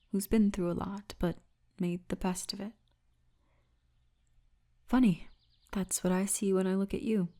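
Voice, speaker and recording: Slow, hushed orc woman, acted by a woman. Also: on the low side. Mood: probing, tender. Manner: slow, hushed, breathy murmur. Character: large orc woman guard, gentle, wry and commanding. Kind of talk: intimate ASMR roleplay.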